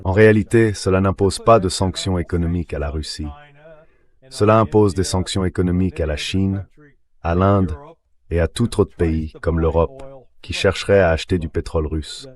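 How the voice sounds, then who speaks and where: A man's voice: deep voice, normal speed. A man's synthetic AI dubbing voice delivering argumentative, emphatic political commentary as an interview guest. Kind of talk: dubbed voice-over of political commentary.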